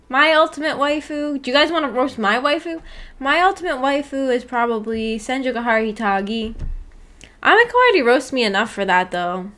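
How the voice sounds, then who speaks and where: A woman with a fairly high voice chats casually on a livestream, answering her viewers as she goes. Her manner is playful and giggly, with teasing and informal slang. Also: lively intonation, moderate pace, a bit bright. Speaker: streamer reading and answering viewer chat.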